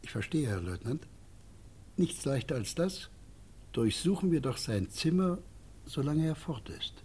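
A man's voice: a little high, very animated, measured pace, a little mellow, slightly rough, heavily acted. A man playing a grumbling, petty householder in a radio drama, querulous yet deferential to officials. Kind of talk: German radio play acting.

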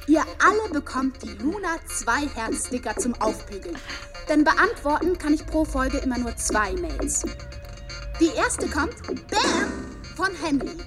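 An actress playing a gushing young female video blogger in a radio drama, with bubbly, exaggerated enthusiasm. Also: high-pitched. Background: music.

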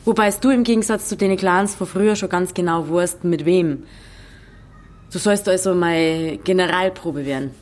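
A woman's voice: lowish voice, normal speed, darkish tone, natural voice. An actress playing a defiant, curious young village woman in a radio drama, with a Bavarian accent and a sceptical, wry way of questioning. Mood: sceptical, teasing.

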